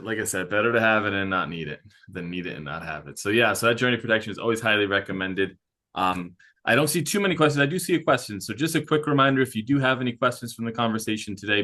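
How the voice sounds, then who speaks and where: A man moderating a travel webinar, briskly prompting the others with questions. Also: lowish voice, sharp tone.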